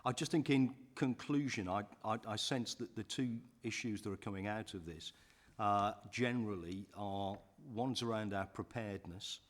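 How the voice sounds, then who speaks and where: Halting, lowish-voiced male committee chair. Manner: halting, with frequent fillers and restarts. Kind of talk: chairing a council committee meeting. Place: council meeting room.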